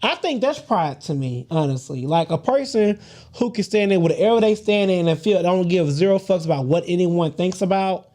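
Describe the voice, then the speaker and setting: Sassy man with a light, high voice. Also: normal speed. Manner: animated, sassy, swears freely, laughs often. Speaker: podcast co-host. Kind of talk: casual group chat, storytelling.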